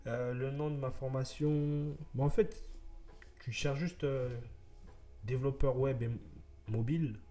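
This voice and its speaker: Dark-voiced young man, chatty streamer. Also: mid-range voice, steady tone, takes it slowly, long pauses. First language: French. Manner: rambling, chatty, muttering while coding. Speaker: streamer, web developer. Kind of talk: casual live-coding chat.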